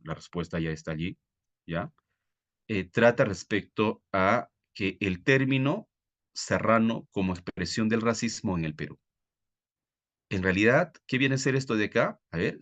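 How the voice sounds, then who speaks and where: A man with a fairly low voice and a Peruvian Spanish accent leads a live online lesson. He explains in a didactic way, often asking questions and checking that his students follow. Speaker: teacher, exam-prep tutor.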